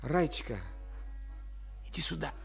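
An actor playing a roguish young soldier patient who begs theatrically in a 1950s Soviet radio play. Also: male voice, a little high, slow, gravelly, faint music behind, heavily acted. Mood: wheedling. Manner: theatrical begging with oaths.

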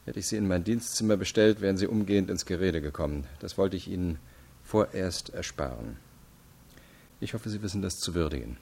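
Casting: a formal state attorney questioning suspects, lowish voice, measured, insistent, radio crime drama. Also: male voice, animated, vocal fry, a little mellow, breathy edge, full character voice. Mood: formal, stern. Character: stern, probing adult male investigating state attorney. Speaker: interrogator.

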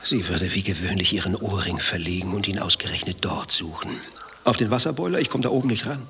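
A furtive married man in a satirical radio play, his voice on the low side. He recounts his secret affair with paranoid, fretful drama, in clipped phrases over clear background noise. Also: quick pace, pushed and bright, full character voice. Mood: harried, dramatic. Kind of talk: dialogue-driven satirical radio play acting.

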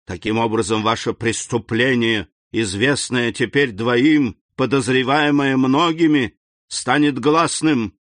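A man's voice: on the low side, dry recording, clean studio sound. Man voicing Villefort, an icy stern prosecutor. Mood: fierce accusing. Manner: icy, deliberate, accusing. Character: stern cold middle-aged male royal prosecutor.

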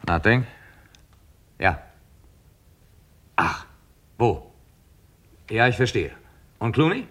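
An actor playing a calm, old-fashioned, courteous police chief inspector in a measured 1960s German crime radio play style. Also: male voice, mid-range voice, heavily acted. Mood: calm, reproving. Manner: measured, polite, mildly reproving.

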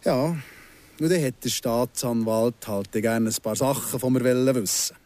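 A man acting in a Swiss German radio drama as an embittered, menacingly insistent ex-convict in his thirties, speaking deliberately and sardonically with pointed pauses. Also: medium pitch, mellow, no echo, close to an everyday voice. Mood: bitter recounting. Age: middle-aged. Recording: music behind the voice.

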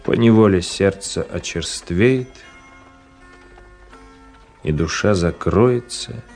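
An actor playing a reserved, polite gentleman traveller who narrates and speaks in a literary radio play, measured and reflective. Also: sits low, vocal fry, soft background music, heavily acted. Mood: wistful reflection. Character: reserved, courteous gentleman traveller telling his story. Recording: noisy old radio drama recording.

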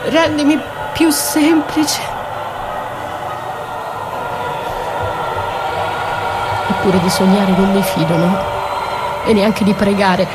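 An actress playing a battered, dreamy and desperate wife in a poetic theatrical radio monologue, halting and repeating her words. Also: a little high, natural voice, poor audio. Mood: pensive, prayerful. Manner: halting, repeating words, swinging from murmur to cries. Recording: music under the voice. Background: music.

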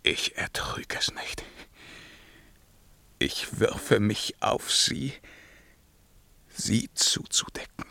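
An actor playing an anguished, imperious ruler in a verse radio drama, declaiming between whispers and loud commands, with a creaky voice. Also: male voice, lowish voice, very slow, long pauses, dry recording, full character voice. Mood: desolate, brooding. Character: anguished, imperious male ruler mourning his dead beloved.

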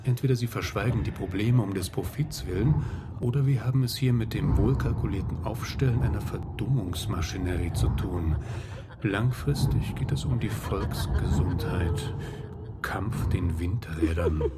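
A man plays a harried civil servant in a radio drama, speaking in a low, hesitant, weary voice. Loud ambient noise surrounds him. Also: moderate pace, close to an everyday voice. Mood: absorbed reading aloud. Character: harried, reluctant civil servant with secret affair. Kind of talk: German radio drama acting.

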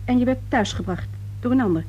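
A woman's voice: a little high, fast talker, lo-fi sound, lightly acted. An actress playing a stern, practical middle-aged mother in a radio play, curt, probing and reproving. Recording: noisy old radio play with hum.

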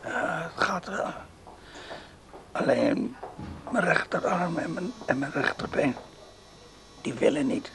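An actor playing a frail, bedridden old father in a radio play, breathy and halting, weary and gently resigned. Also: on the high side, lively intonation, a little hiss or hum, heavily acted. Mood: weakly affectionate. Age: older. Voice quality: breathy, whispery, creaky.

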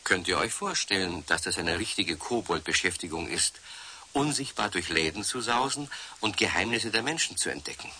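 A storyteller narrates for children in a low, lively voice. His delivery is scripted and animated, on an old radio recording with slight hiss. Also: moderate pace, full character voice. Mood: storytelling.

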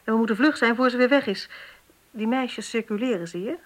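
An actress playing a businesslike, sceptical police detective in a radio play, questioning and giving orders in a matter-of-fact way. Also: female voice, on the high side, a little fast, natural voice. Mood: brisk, concerned. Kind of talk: naturalistic radio play acting.

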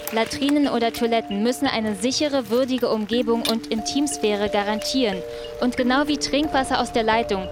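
Actress for curious, composed woman reporter in radio drama; lightly high voice, reportage narration, music underneath. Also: natural voice.

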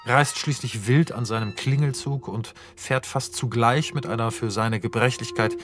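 A man narrating stage directions for a radio play in a measured, even and descriptive way. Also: mid-range voice. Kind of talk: scripted narration.

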